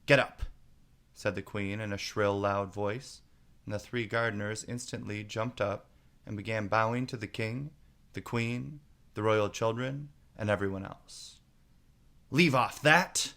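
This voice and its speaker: A man's voice: lowish voice, fairly even intonation, measured pace, creaky, a bit bright. A man narrating a children's storybook as a read-aloud.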